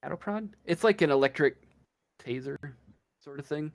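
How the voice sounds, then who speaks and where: A man narrating a tabletop role-playing game as game master, improvising scenes in a halting, hesitant way. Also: a little high, animated, gravelly. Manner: halting, hesitant, feeling for words.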